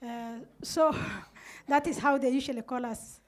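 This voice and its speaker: Middle-aged Kenyan Somali woman, high-pitched, earnest panelist telling her story, handheld mic indoors. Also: steady tone, measured pace. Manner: earnest, recounts events with quoted dialogue. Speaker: community radio manager on a panel. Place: indoor room with curtains. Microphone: handheld microphone.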